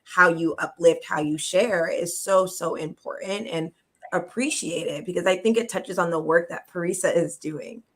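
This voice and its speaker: Low-voiced woman, heartfelt and measured. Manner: heartfelt, measured, drawn-out emphasis. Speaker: panelist. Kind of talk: reflective panel discussion.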